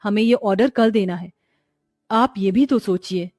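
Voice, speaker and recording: A woman's voice: mid-range voice, measured pace, darkish tone, no room sound, clean studio sound, close to an everyday voice. A woman voicing a practical young village wife in a storybook tale, reassuring and insistent.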